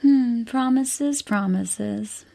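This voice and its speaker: Sly woman scientist with creaky voice. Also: a little high, drawn-out words, heavily acted. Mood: flirtatious. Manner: breezy, sardonic teasing. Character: teasing, self-assured woman scientist, sly and flirtatious. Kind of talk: audio drama acting. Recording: music under the voice.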